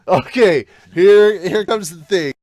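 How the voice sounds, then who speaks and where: A man hosting a podcast, chatting casually and excitably through a manga read-along, with some swearing. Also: high voice, takes it slowly, no echo. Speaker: podcast host.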